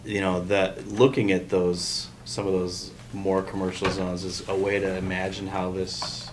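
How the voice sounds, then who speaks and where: A man's voice: low voice, monotone, unhurried. A man talking through examples and reasoning in an explanatory way during a meeting discussion. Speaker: public meeting participant. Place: indoor meeting room. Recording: noisy meeting room recording.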